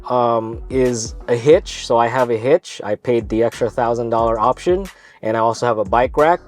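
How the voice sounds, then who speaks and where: A man with a stuffy, congested voice, hosting his own video in an easygoing, rambling way. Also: medium pitch. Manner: easygoing, rambling with self-corrections. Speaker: vlog host. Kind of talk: casual talk to camera.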